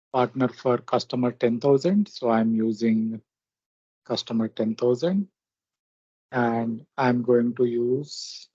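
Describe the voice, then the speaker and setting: A man with a mellow voice presents a software walkthrough at a slow pace. He goes step by step in short, halting phrases. The recording is dry and close. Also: medium pitch, no room sound. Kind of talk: step-by-step screen demonstration narration. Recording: dull, lo-fi close microphone recording.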